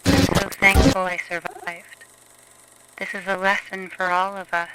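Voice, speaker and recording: A woman's voice: medium pitch, flat delivery, unhurried, fairly bright tone, clean tone, natural voice, low-quality recording. A woman playing a shaken young survivor giving an earnest, plain-worded testimony in a horror story. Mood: earnest warning.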